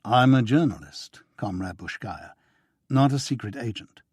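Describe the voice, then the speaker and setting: A man's voice: mid-range voice, lively intonation, a little slow, a little mellow, husky, no echo. A man reading the lines of a dry, reluctant male journalist in an audiobook. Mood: wry.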